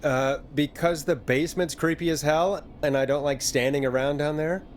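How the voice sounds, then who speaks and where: A man's voice: a little high, fairly even intonation, normal speed, slight background noise, lightly acted. An actor playing a wry, uneasy male radio DJ working a night shift in a video game.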